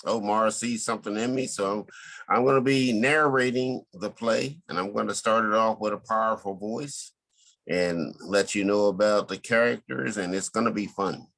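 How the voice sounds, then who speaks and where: Lower-voiced man talking earnestly. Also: moderate pace, vocal fry, fairly bright tone. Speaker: visual artist and actor, interview guest. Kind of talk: casual interview talk.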